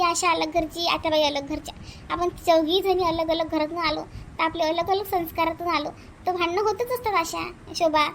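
Woman voicing a very high motherly sister-in-law. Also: a little hiss or hum. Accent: Varhadi Marathi. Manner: advising, repeats phrases for emphasis. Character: motherly elder sister-in-law, patient family peacemaker. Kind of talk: amateur cartoon family drama.

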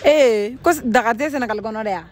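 A woman's voice: a little high, fairly quick. A young woman giving short, casual, bantering answers as a street interview guest, into a phone held out to her outdoors. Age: young adult. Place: open paved outdoor plaza. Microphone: handheld phone held out by interviewer. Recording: clearly noisy outdoor phone recording.

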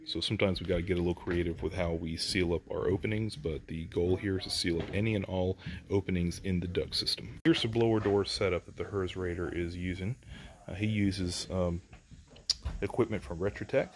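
A man's voice: low-pitched, steady tone, a little fast, a little hiss or hum. A man in his forties, an HVAC contractor, explaining a test in a practical, plainspoken way inside a house under construction, filmed on a handheld phone. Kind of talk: instructional job-site vlog.

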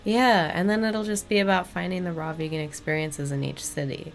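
A woman's voice: on the low side, steady tone, brisk, vocal fry. A young woman co-hosting a casual home podcast, laid-back and giggly, recorded on a webcam mic in a room with a green curtain. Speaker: podcast co-host. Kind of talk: casual chat.

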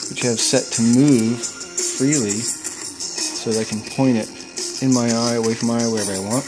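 A man's voice: medium pitch, fairly even intonation, moderate pace, over music, rough recording. A man casually explaining his home-built gear in a plain, matter-of-fact way, recorded indoors at home. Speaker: paraglider showing his gear. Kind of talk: casual product demo.

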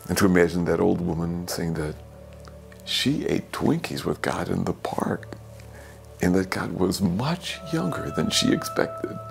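An older man, a priest, giving a homily in a slow, gentle, reflective way, sometimes dropping to a soft whisper, from a small office. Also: low-pitched, expressive, gravelly, soft background music. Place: small office with bookshelves, indoors.

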